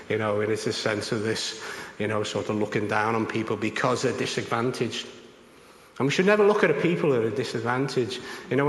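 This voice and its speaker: Casual rambling preacher guy, bit croaky, echoey church hall. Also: mid-range voice, a little hiss or hum. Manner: conversational, rambling with many fillers. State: slightly croaky voice. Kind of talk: informal sermon.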